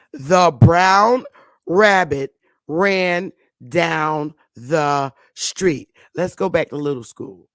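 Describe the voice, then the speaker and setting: A woman's voice: fairly low pitch, a bit bright, no echo. Emphatic woman teaching in a dry room. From northern United States. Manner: emphatic, preachy, with slow drawn-out stresses. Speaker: online talk show host. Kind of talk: casual teaching monologue.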